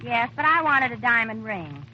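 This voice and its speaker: An actress playing a coy, flirtatious faithless wife in a theatrical 1930s radio comedy. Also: female voice, fairly high pitch, very animated, normal speed, pushed and bright. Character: flirtatious, faithless wife juggling lovers in a farce. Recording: noisy old 1930s radio broadcast.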